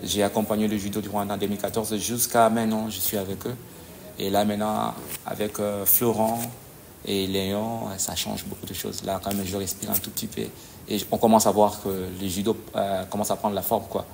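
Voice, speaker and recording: A man, a judo coach answering interview questions in a halting way, often pausing to search for words. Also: fairly low pitch, level tone, vocal fry, a little mellow, a little hiss or hum. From DR Congo.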